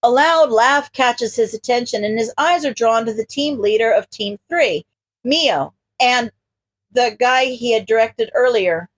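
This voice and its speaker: A woman's voice: a little high, a little slow. A woman reading a scripted story aloud as a narrator. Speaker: podfic reader.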